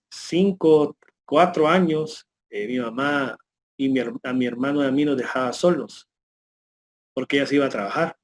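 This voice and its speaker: Salvadoran man telling his story haltingly. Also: mid-range voice, a little hiss or hum. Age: late 30s. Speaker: guest speaker giving a testimony. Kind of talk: personal testimony, spontaneous storytelling.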